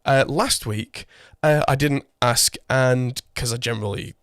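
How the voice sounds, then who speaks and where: A man in his thirties hosts a relaxed podcast from a home studio, chatty and self-deprecating. His voice sounds slightly hoarse from a cold. He speaks close to a condenser mic in a dry, dead room. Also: medium pitch, measured pace, no room sound. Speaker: podcast host. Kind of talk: casual chat. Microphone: condenser mic through an audio interface.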